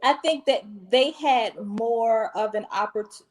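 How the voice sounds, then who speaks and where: A young woman singer-songwriter from Southern Virginia, chatting casually with a naturally higher voice and easy laughs. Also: slow pace, low-quality recording. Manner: chatty, laughs easily, restarts mid-sentence. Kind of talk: casual chat.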